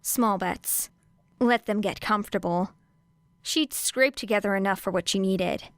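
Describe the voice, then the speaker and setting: A woman's voice: mid-range voice, a little slow, dry recording. A woman reading a scripted fiction audiobook as narrator, close on the microphone. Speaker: audiobook reader.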